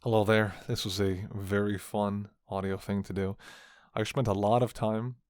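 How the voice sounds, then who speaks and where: A man's voice: on the low side, level tone, normal speed, a little mellow, clean tone, dry recording, clean studio sound. A man talking casually to his ASMR listeners in a hesitant, musing, rambling way. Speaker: host of an ASMR roleplay audio channel.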